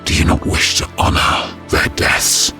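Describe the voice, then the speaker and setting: A man voicing a grim, vengeful reptilian alien warrior in a science fiction audio story, with a harsh, low, gravelly voice and solemn, deliberate delivery. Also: pushed and bright, soft background music. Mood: grim, resolute. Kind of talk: dramatic audio story acting.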